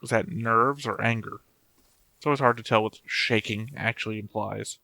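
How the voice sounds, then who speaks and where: A man with a fairly low voice gives casual commentary on a show he is watching. He thinks out loud in a blunt, unguarded way and swears freely. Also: steady tone, moderate pace, gravelly, dry recording, low-quality recording. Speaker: host of an anime reaction show.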